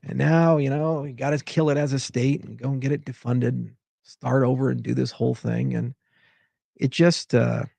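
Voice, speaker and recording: Man, from Hawaii, very gravelly, husky, dry close sound, exasperated rambling monologue. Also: medium pitch, little pitch movement, moderate pace, mellow, dry recording. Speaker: tech founder giving commentary. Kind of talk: unscripted monologue.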